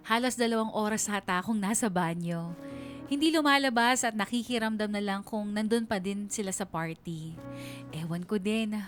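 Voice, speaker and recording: A woman's voice: medium pitch, natural voice. A woman playing a young woman narrating her own love story in a confiding radio drama style. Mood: sheepish. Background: music under the voice.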